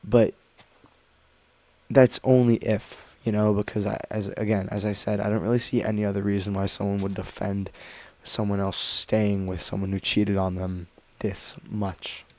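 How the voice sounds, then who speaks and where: A man with a low, gravelly voice reads online stories aloud, a little under the weather. He rambles and hesitates with lots of fillers between thoughts. The sound is dry and close. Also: level tone, moderate pace, darkish tone, dry recording. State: a little sick. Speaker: reddit story reader. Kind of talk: casual reading with commentary.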